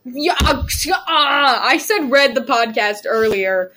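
A young woman hosting a podcast, chatting casually and joking, swearing freely, with a shrill voice. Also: lively intonation, takes it slowly, pushed and bright. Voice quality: self-described shrill voice. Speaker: podcast host. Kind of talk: casual chat among friends.

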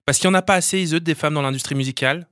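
A man's voice: on the high side, racing, noisy background. A man hosting a casual interview, playful and loose in his phrasing. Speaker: host.

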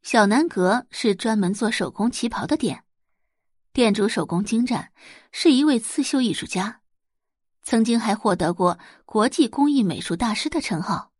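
A woman's voice: on the high side, lively intonation, normal speed, darkish tone, husky, no room sound, clean studio sound. A woman narrating a scripted audio drama as a storyteller, in a dramatic reading style.

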